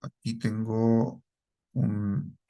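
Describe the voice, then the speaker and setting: A middle-aged man teaching a programming class, quizzing students and checking in with a quick "¿sí?" after each point. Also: fairly low pitch, little pitch movement, mellow. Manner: asks the class questions and repeats their answers, ends lines with a checking "¿sí?". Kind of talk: live classroom lesson.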